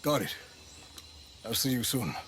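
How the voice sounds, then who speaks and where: A man's voice: mid-range voice, animated, measured pace, fairly bright tone, slight background noise. An actor playing a tough male action hero in a video game cutscene, speaking in dry, clipped lines with a wry edge. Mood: weary.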